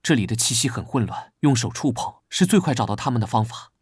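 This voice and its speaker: Brisk, lively, blunt young investigator. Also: male voice, mid-range voice, slightly rough, dry recording, clean studio sound. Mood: focused. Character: serious, blunt, easily exasperated young investigator. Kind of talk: Chinese animated drama dubbing.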